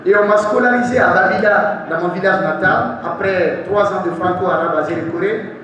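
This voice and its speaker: A young man with a Guinean accent moderating a panel, giving a formal, deliberate speech to an audience and repeating phrases for emphasis. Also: high voice, fairly bright tone. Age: young adult. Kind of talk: public speech to a forum audience. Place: large echoey room at a live event. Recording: echoey hall, distant mic, faint noise.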